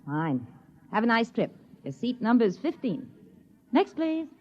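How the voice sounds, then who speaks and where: Space-liner stewardess, higher mellow female voice, formal, efficient, old radio drama, dull recording. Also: very animated, unhurried, a little hiss or hum. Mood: brisk, polite. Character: brisk, polite female stewardess on a moon rocket. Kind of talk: 1950s radio acting.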